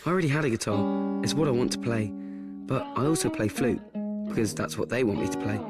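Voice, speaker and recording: An awkward teenage boy, played by a man in a radio drama. He speaks in a halting, confessional manner, self-absorbed and matter-of-fact about his own life. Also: medium pitch, expressive, over loud music, lightly acted. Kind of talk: first-person radio play monologue and dialogue.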